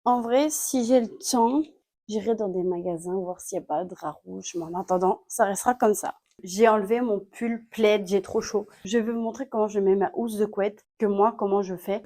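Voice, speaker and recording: A woman vlogging casually from her bedroom while she has a cold, chatting candidly and rambling to the camera. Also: medium pitch. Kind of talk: casual vlog chat to camera. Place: home bedroom. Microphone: phone camera.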